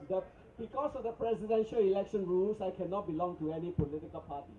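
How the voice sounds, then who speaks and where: A man's voice: high-pitched, quick pace, warm tone, roomy sound, muffled recording, a little hiss or hum. A Singaporean man with a Singaporean English accent, a politician answering at a press conference in a wry, insistent way.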